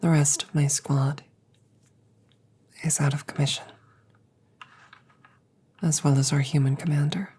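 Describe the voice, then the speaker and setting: A woman voicing a gentle, protective damaged android soldier in a scripted ASMR roleplay, speaking breathily, often in a whisper, with slow, careful, formal phrasing. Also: low voice, flat delivery, husky, heavily acted. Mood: grave, resolute.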